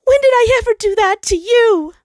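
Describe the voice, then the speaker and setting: A woman's voice: extremely high pitch, normal speed, darkish tone, dry recording, clean studio sound, voice pushed up. A woman voicing a heartbroken male star trapped in human form, pleading and crying out in hurt anger in a story reading. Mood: accusing. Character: the Sun as anguished male star in human body.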